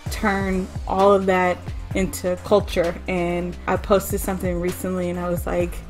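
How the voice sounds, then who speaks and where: A woman's voice: on the low side, monotone, a little slow, a little mellow, faint music behind. A woman giving her opinion casually as a podcast guest.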